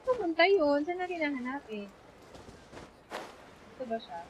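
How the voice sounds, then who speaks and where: A woman's voice: sits high, sing-song, moderate pace, highs cut off, slight background noise. A woman with a Filipino accent giving casual live gameplay commentary, chatty and reacting aloud as she switches between two languages. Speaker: game streamer.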